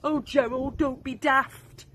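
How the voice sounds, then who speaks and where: A woman's voice: high voice, steady tone, slow pace, fairly bright tone, slight background noise. A woman voicing a mocking crowd of jungle animals in a storybook.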